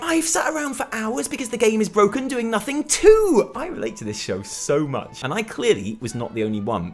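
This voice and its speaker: A British man hosting a gaming news show with scripted comic commentary in a theatrical, sarcastic manner. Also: fairly high pitch. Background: background music.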